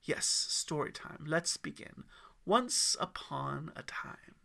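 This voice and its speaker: Man as kindly mountain man, audiobook, measured, instructive, gravelly, lively intonation. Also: a little high, heavily acted. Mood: storytelling. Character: patient, mysterious mountain man storyteller, kindly teacher.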